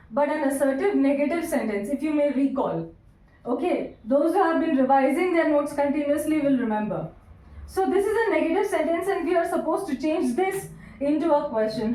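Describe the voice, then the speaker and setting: A woman teaching English grammar, repeating each sentence slowly word by word and asking her students questions. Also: high voice, darkish tone, a little hiss or hum. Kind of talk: classroom grammar lesson. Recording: echoey room, voice from a distance.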